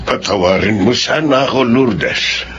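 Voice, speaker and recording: A man playing a lonely, remorseful old Filipino grandfather in a radio drama, speaking haltingly and sorrowfully. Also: mid-range voice, over loud music, natural voice. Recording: dull lo-fi recording with faint noise.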